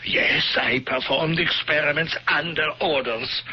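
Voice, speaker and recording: An actor playing an older former camp doctor, agitated and defensive in a radio drama. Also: male voice, on the high side, measured pace, husky. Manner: agitated, pleading. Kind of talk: 1970s radio drama acting. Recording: dull old radio broadcast with hiss.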